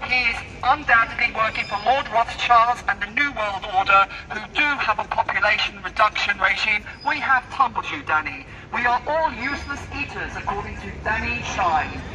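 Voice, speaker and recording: A man debating in public through a megaphone, speaking in a formal, insistent, declamatory manner. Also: extremely high pitch. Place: busy outdoor city street. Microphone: megaphone picked up by a distant camera mic. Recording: tinny megaphone outdoors, distant, street noise.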